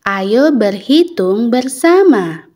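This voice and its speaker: Very slow, lively woman teacher. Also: fairly high pitch. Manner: clear, deliberate, lively intonation. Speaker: English teacher for children. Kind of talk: slow repeated phrase drills with translations.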